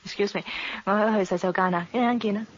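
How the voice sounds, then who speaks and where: An actress playing a confident, coolly polite socialite in a radio drama. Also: female voice, medium pitch, steady tone, quick pace, slight background noise, lightly acted. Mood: teasing, polite. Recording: dull lo-fi audio.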